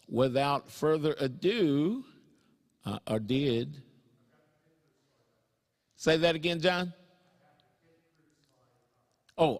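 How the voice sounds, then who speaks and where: A man hosting a career networking meeting, rambling with jokey self-deprecating asides between announcements. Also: a little high. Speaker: networking group host. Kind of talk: live event emcee talk. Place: event hall. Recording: reverberant event hall.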